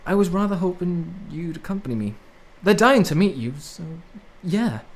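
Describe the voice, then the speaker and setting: A man's voice: high voice, sing-song, normal speed, full character voice. An actor playing an awkward, affectionate young man who drifts through life, in a naturalistic radio drama. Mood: hopeful, coaxing. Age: young adult.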